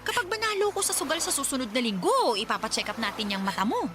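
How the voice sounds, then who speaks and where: An actress playing a cruel, manipulative Filipino mother in a radio drama, sharp, sarcastic and dismissive as she scolds. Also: high voice, big pitch swings, fast. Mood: threatening. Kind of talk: Tagalog radio drama acting. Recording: music under the voice.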